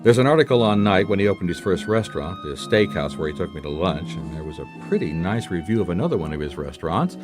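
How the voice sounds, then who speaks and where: Low-voiced, wry private detective. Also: male voice, very animated, fast, hardly any pauses, over music. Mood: wry, thoughtful. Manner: dry, conversational, probing. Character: wry, persistent male private detective, noir narrator. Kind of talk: radio mystery drama, dialogue and narration.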